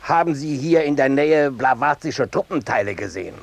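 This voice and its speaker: An actor playing a zealous army captain, stiff, pompous and officious, in a 1950s radio play. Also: male voice, medium pitch, expressive, moderate pace, gravelly. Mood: stern, officious. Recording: noisy 1950s radio drama recording.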